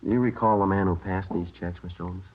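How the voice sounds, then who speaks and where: An actor playing a calm, businesslike American police sergeant in a 1950s radio drama, terse and matter-of-fact. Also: male voice, low voice, fast. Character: calm, businesslike male police sergeant narrating his case. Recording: dull vintage radio broadcast, loud music.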